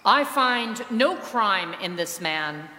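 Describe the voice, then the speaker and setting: A woman reading the part of a Roman governor who is reluctant to condemn, in a measured, earnest voice during a liturgical reading. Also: medium pitch, lively intonation, sharp tone. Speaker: liturgy reader. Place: large reverberant church. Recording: long church reverb.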